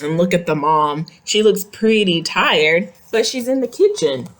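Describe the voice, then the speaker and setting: A woman's voice: fairly low pitch, expressive, measured pace. A woman reading a picture book aloud to young children, expressively, with simple questions and explanatory asides. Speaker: read-aloud storyteller.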